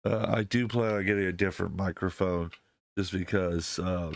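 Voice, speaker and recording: A man hosting his channel while sick, his voice deepened by illness, talking casually to viewers with many fillers and restarts into a desk microphone that clips when he is too close. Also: steady tone, normal speed, vocal fry, dry recording. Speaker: channel host.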